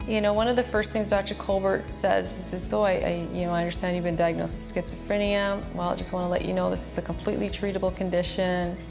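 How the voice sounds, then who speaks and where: A woman's voice: fairly low pitch, steady tone, quick pace, gravelly, hardly any pauses. A woman giving a personal testimony, recounting events in an earnest, plain-spoken way. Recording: music bed under the voice. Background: background music.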